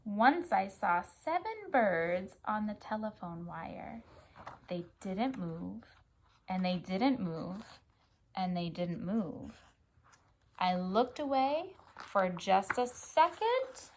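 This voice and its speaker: An American woman reading a picture book aloud for children and chatting gently, often prompting a young child with questions. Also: medium pitch, big pitch swings, slow, a little hiss or hum. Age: adult. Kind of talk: children's story read-aloud with gentle chat.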